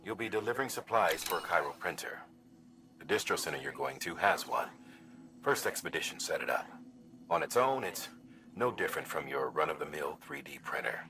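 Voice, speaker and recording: An actor playing a calm, gruff male commander in a video game, briefing a courier in a businesslike, conversational way. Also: a bass voice, brisk. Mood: measured, instructive. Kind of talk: mission briefing, game voice acting.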